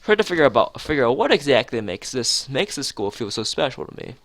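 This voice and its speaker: A man's voice: mid-range voice, very animated, quick pace, fairly bright tone, no echo. A man reading a visual novel aloud as narration, now and then stumbling and rereading phrases, with music behind him. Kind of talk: dramatized read-aloud narration of a game.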